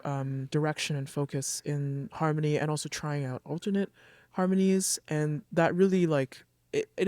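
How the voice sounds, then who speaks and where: A man's voice: a little high, no room sound, clean studio sound. A man in a band talking in a casual interview, upbeat and chatty, laughing easily. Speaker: band member.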